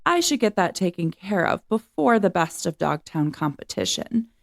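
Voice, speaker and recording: A woman's voice: on the low side, big pitch swings, normal speed, gravelly. Woman putting on a vain, preening show-dog diva. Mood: self-absorbed. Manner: preening, self-regarding. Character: vain, self-absorbed female Irish setter show-dog diva.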